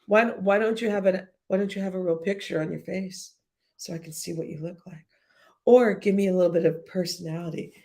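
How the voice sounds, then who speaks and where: A woman's voice: fairly low pitch, fairly even intonation, a little fast, a little mellow. A woman talking casually on a livestream, blunt and profane, with a slightly whiny-sounding voice, speaking straight to her viewers. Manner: blunt, profane, chatty, addresses chat directly. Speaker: YouTube live streamer.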